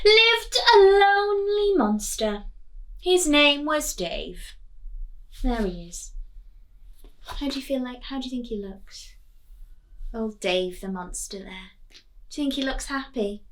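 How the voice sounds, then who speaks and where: Slow, high-voiced woman teacher at storytime. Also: sing-song, a bit bright. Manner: deliberate, questioning, teacherly. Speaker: primary school teacher reading a picture book to children. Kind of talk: expressive children's storytime reading with questions to listeners.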